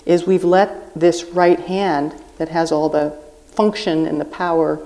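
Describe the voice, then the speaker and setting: Deep mellow woman guest on stage, earnest, builds out a long metaphor. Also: steady tone, a little slow, low-quality recording. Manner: earnest, explains with extended metaphor. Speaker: guest writer. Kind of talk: live podcast conversation. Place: stage at a book festival.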